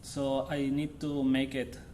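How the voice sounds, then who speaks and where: Guy talking to students about his art, kinda halting, hunts for words a lot, normal pitch. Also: monotone, warm tone, slight background noise. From Chile. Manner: halting, searching for words, loose non-native phrasing. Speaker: visiting artist giving a talk. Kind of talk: informal artist talk to students. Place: college lecture room.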